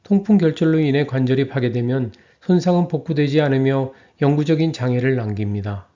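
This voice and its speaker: Man, health lecturer, scripted narration, mellow dark tone, slightly muffled recording. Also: medium pitch. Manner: even, measured reading.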